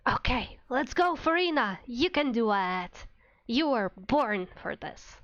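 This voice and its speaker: High-voiced woman streamer, slightly dull audio. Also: very animated, takes it slowly, a bit bright, dry recording. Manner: informal, wry, mildly sweary. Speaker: VTuber streamer. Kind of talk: casual game livestream commentary.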